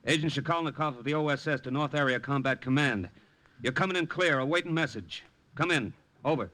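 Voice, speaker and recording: An actor playing an earnest American army captain and secret agent, steady and plainspoken, in a 1950s radio drama. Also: male voice, on the high side, a little fast. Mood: crisp, official. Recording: old radio recording, faint noise.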